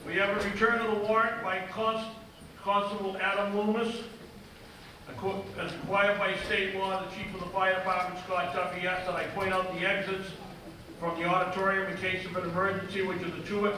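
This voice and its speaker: A man runs a town meeting in a measured, procedural voice that sits high and barely moves in pitch. He is picked up from a distance in a big, echoing auditorium. Steady background noise sits under him. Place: large auditorium. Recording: echoey hall, distant mic, background noise.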